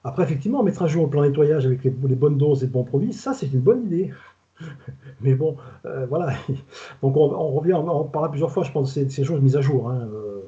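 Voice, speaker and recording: Older man, southern French accent, retired inspector type; rapid, rambling, colloquial delivery, mellow tone, lo-fi call audio. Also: a little high, lively intonation. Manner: fast, rambling, colloquial, stumbles and repeats words. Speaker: retired food safety inspector, guest expert. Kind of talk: casual webinar talk. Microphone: video call. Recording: dull lo-fi video call audio.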